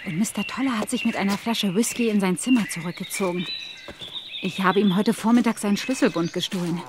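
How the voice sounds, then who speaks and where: An actress playing a polite, earnest and anxious young governess in a radio play. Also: female voice, medium pitch, brisk, background noise, close to an everyday voice. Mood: hurried, conspiratorial. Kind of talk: German audio drama acting.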